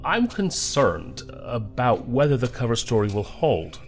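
An actor playing a guarded, weary special agent in a science fiction audio drama, terse and dry in manner. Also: male voice, medium pitch, big pitch swings, unhurried, faint music behind. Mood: uneasy.

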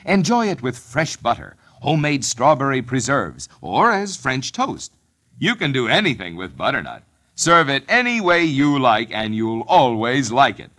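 A man's voice: medium pitch, big pitch swings, normal speed, sharp tone. A man announcing a commercial in old-time radio advertising style. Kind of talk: 1940s-50s radio advertisement.

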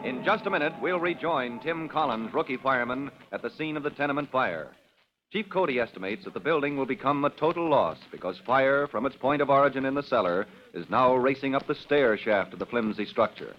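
A man's voice: mid-range voice, expressive, fairly quick, faint music behind. A man announcing and narrating a 1940s radio drama in a declamatory style. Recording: dull old radio recording.

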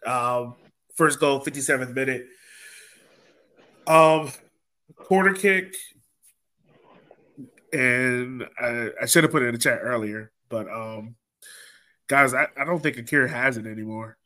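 A man's voice: medium pitch, a little slow, long pauses, pushed and bright. A man hosting a casual sports recap podcast in a conversational way, drawing others into the discussion. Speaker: podcast host.

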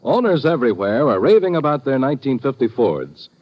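Lively male vintage radio pitchman. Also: fairly quick, a little hiss or hum. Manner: emphatic sales pitch. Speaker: commercial announcer. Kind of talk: 1950s radio advertisement read. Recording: dull vintage radio recording.

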